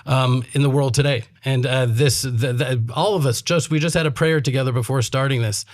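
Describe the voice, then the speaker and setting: A man's voice: medium pitch, fairly even intonation, brisk. A man born in Texas and living in Israel, co-hosting a casual panel talk in an earnest, heartfelt manner and quoting Hebrew scripture. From born in Texas, USA, living in Israel. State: came straight from reserve duty. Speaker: co-host.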